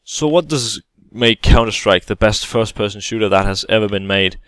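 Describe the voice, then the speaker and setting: A man talks through a game tutorial in a relaxed, halting way, often pausing and restarting. His voice is smooth and clear. The recording is dry and close, with no room sound. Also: lowish voice, dry recording. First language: Danish. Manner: hesitant, many fillers and restarts, casual explaining. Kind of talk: gameplay tutorial voice-over.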